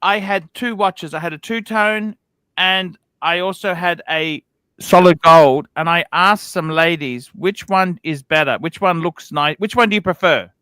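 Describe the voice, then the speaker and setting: A man's voice: high-pitched, a little slow, bright, forceful tone, clean tone, no room sound. A man hosting a casual livestream panel chat, blunt and swearing, who keeps checking with "okay?". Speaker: livestream host.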